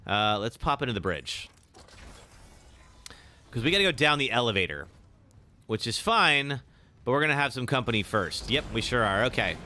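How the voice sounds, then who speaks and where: A young man, slightly raspy today, chatting casually while live streaming a game and answering chat. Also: medium pitch, animated, normal speed, pauses often, slight background noise. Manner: chatty, reacts with sudden loud exclamations; filler-heavy, answers chat. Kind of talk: live gameplay commentary.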